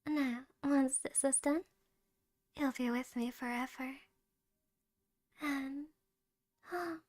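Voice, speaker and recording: Woman to play shy, obsessive young yandere woman for ASMR audio drama. High voice, slow delivery, soft and breathy, slipping into whispers, sweet with menace underneath. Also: no room sound, studio quality. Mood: sweetly possessive. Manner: soft, breathy, often whispering, with hesitant little pauses. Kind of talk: ASMR audio roleplay, intimate one-sided dialogue.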